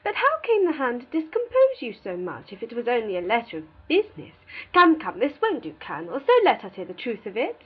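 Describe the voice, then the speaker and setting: A woman's voice: fairly high pitch, brisk, slightly rough, dull audio, voice pushed up, exaggerated voice. A woman putting on a nosy, prying older matron. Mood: teasing, prying. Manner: prying, teasing, excitable.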